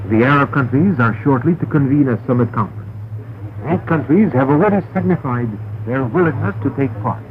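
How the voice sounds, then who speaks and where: A man reads a formal scripted news bulletin as a radio newsreader, in a measured, carefully enunciated way. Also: medium pitch, expressive. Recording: noisy narrow-band shortwave radio recording.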